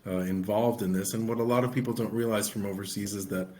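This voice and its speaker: A middle-aged man, an immigration attorney co-hosting a podcast, explaining in an earnest, steady way. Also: on the low side, quick pace. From United States. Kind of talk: podcast conversation.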